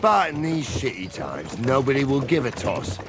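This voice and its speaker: An actor playing a stern, scornful medieval captain in a video game, speaking bluntly and sarcastically. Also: male voice, mid-range voice, very animated, normal speed, background noise, natural voice. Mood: coldly menacing. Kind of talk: video game drama acting. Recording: loud outdoor cart and hoof ambience.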